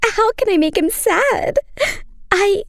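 A woman playing a kind, earnest young daughter in a children's fairy tale, speaking sweetly and gently. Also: extremely high pitch, expressive, slow, gravelly, no room sound, studio quality, heavily acted. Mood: remorseful.

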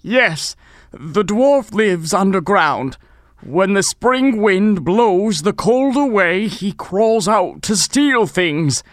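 An actor voicing a big, gentle, polite talking bear in a children's storybook dramatization. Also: medium pitch, lively intonation, takes it slowly, dry recording, studio quality. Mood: earnest.

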